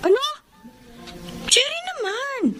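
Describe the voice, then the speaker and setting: Female confidante role, Filipino accent, high animated voice, pushy but helpful, music underneath. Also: slow pace, full character voice. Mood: persuasive. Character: helpful, persuasive female friend offering a job. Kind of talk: Tagalog radio drama acting.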